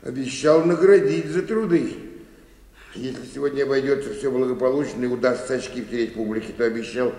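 A man's voice: medium pitch, brisk, dark tone, lightly acted. Grumpy old bookkeeper played by a man, echoing. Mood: sour muttering. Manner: muttering, grumbling, coughing and chuckling to himself. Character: grumpy, hot-tempered old male bank bookkeeper, worn out. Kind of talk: theatrical radio play acting. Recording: echoey, reverberant studio hall.

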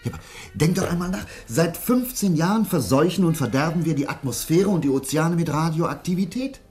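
A man playing an agitated, earnest scientist in a German radio drama, speaking urgently and haltingly. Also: fairly high pitch, animated, darkish tone, husky, faint music behind. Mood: alarmed. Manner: hesitant, halting, then urgent. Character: agitated, earnest male scientist, doubting officials.

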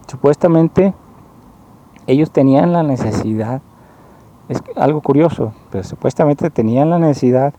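Slow, halting man with a very dark voice. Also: mid-range voice, rough recording. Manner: slow, halting, rephrases often; earnest and explanatory. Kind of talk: spoken monologue, personal complaint.

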